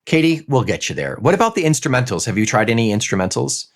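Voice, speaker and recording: A man co-hosting a casual podcast, relaxed and agreeable, chatting with his co-host. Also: medium pitch, fast talker. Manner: relaxed, agreeable, lots of yeahs. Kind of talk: casual chat, reading a listener email aloud.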